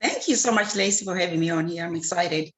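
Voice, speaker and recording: Earnest low-voiced woman from Zimbabwe. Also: quick pace. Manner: earnest, hesitant, many fillers and restarts. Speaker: guest, clean water advocate. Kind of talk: casual interview answers.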